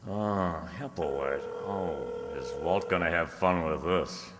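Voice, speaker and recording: Man playing a deep-voiced wisecracking private eye. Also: slow. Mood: brisk, wry. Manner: sardonic, wisecracking. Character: wisecracking male private detective, flirtatious, cocky, breezy. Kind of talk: old-time radio detective drama acting. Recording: reverberant hall, stage-like echo.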